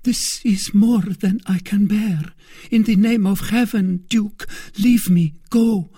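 A woman voicing a troubled queen, speaking in a hushed, breathy plea for a dramatic audiobook. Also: medium pitch, slow, dry recording, clean studio sound. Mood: anguished. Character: troubled queen, torn and fearful.

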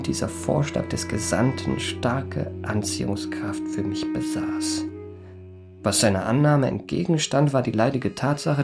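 An actor playing a suave young impostor posing as a marquis in a radio drama, with ornate, courtly diction. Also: male voice, mid-range voice, gravelly, lightly acted. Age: young adult. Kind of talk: first-person literary radio drama narration with acted dialogue. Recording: studio radio play with music. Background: music.

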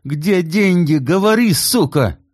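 A man voicing a furious middle-aged husband who yells and bullies in a rough, shouting voice. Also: high-pitched, animated, takes it slowly, dry recording, studio quality, voice pushed up, exaggerated voice. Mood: raging. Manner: yelling, rough.

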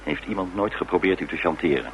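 A man's voice: deep voice, fast, muffled recording. A man acting a cool, dogged detective in a radio drama, questioning in a terse, probing and dryly formal way. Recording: noisy old radio drama recording.

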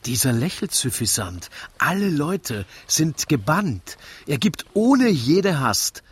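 An actor playing a wry storyteller who narrates a rhymed radio play with dramatic, drawn-out suspense. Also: male voice, on the high side, very animated, husky, dry recording, exaggerated voice.

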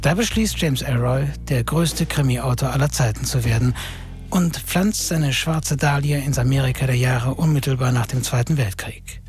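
A man's voice: medium pitch, normal speed, darkish tone. Male presenter over prominent music. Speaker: presenter introducing a radio play. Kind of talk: scripted dramatic introduction.

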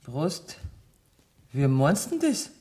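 A woman's voice: deep voice, huge pitch swings, very slow, mellow, full character voice. An actress with a Bavarian accent playing a bossy, calculating businesswoman with money in a radio play. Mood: suspicious, threatening. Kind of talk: radio play acting in dialect.

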